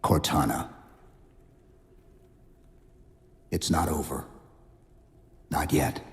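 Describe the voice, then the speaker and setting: A man's voice: a bass voice, steady tone, unhurried, husky, heavily acted. A man playing a stoic, resolute super-soldier in a video game cutscene, speaking in terse, clipped military phrases. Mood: quietly resolute. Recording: loud cinematic music behind.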